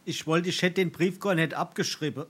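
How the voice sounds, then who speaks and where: A man's voice: fairly high pitch, fairly quick, fairly bright tone, husky. An actor playing a timid, gullible young craftsman in a dialect stage comedy, with a South Hessian accent and a hesitant, simple-hearted manner. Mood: rueful, nervous. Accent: South Hessian, Darmstadt dialect. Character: timid, gullible young journeyman turner, easily led.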